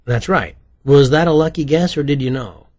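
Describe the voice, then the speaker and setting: Male narrator as wisecracking police tagalong, teasing, showy, husky, crime novel dialogue. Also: medium pitch, animated, normal speed, close to an everyday voice. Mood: game-show showy. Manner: teasing, theatrical. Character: playful, wisecracking man tagging along with police.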